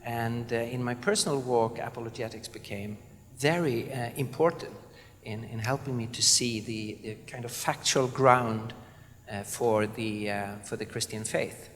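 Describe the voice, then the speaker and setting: Swedish guy on a panel, earnest, lots of uhs, mid voice, echoey hall. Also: mid-range voice. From southern Sweden. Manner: earnest, halting with many fillers. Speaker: panelist, apologetics speaker. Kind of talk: panel self-introduction. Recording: reverberant hall.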